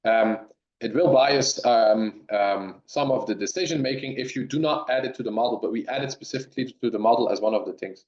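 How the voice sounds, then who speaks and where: A man from Belgium, a dairy science professor, answering webinar questions in halting speech with many restarts over a remote call. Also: mid-range voice, a little mellow. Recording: roomy, dull lo-fi sound.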